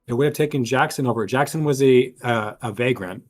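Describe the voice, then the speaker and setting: A man with a husky voice casually discussing clues in a tabletop role-playing game. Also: medium pitch, brisk, darkish tone.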